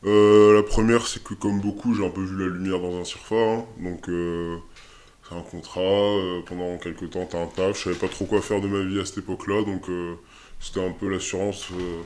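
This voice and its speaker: A man in his twenties with a very deep voice, answering interview questions in a relaxed way. He speaks hesitantly, with many fillers and restarts as he looks for his words. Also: moderate pace. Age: 20s. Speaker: interviewee, army soldier.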